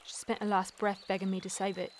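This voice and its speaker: A woman's voice: medium pitch, flat delivery, fast talker, slight background noise, natural voice. A woman playing a plain-spoken rustic young woman in a fantasy audio drama, folksy, hesitant and earnest.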